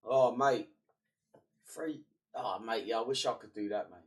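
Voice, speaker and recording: A man's voice: mid-range voice, measured pace. A young man with a stuffy, half-gone voice rambles matily over his football management game. He sits some way back from the mic, so he sounds a little distant. His voice sits in a middling register. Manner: chatty and matey, muttering to himself between bursts. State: congested, voice partly gone. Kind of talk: casual gaming commentary.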